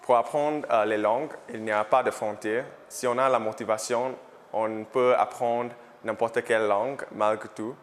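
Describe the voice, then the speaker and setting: A man's voice: medium pitch, steady tone, normal speed, big-room sound, a little hiss or hum. A man from Singapore giving a short earnest testimonial as a language student.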